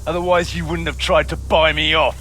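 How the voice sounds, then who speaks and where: An actor playing a cynical, roguish mercenary captain in a video game, sardonic and swaggering, with a rough voice. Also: male voice, fairly high pitch, little pitch movement, a little fast, sharp tone, slight background noise. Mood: defiant, measured. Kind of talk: dramatic video game dialogue.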